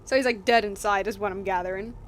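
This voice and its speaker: A woman's voice: mid-range voice, steady tone, fast talker, slight background noise. A woman game streamer giving casual, playful live commentary in an informal, reactive way. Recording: game music behind the voice.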